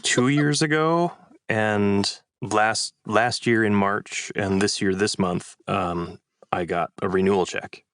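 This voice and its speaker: A man's voice: fairly low pitch, takes it slowly, a bit bright, no room sound, clean studio sound. A man who works as a voice actor, talking casually on a podcast in a thoughtful, rambling way. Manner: thoughtful, rambling with restarts and hedges. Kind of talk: casual chat.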